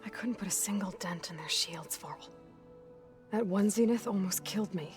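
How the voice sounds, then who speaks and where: An actress playing a guarded, determined young heroine in a video game, speaking in a dry, understated, weary way. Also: female voice, mid-range voice, normal speed, a little mellow, faint music behind, close to an everyday voice. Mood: sober, weary. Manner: understated, terse, reserved. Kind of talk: naturalistic video game cutscene acting.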